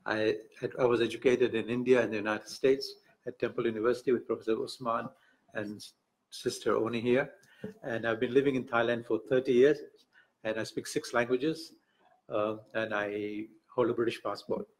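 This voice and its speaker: A man from Tanzania giving a conference speech, halting and self-correcting, with light humor. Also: medium pitch, fairly even intonation, low-quality recording. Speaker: speaker at an academic book event.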